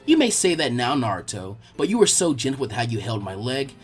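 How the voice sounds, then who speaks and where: Man voicing a sly, husky teenage ninja girl. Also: medium pitch, sing-song, a little fast, faint music behind, pitched below the performer's own voice. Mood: teasing. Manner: teasing, sly.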